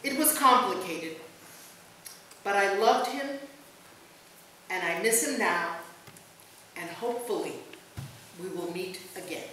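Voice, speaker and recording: A woman's voice: medium pitch, lively intonation, slow pace, long pauses, a bit bright. A woman pastor reading a written memorial tribute aloud at a church service, recorded from a distance. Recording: very echoey church, distant mic, faint noise.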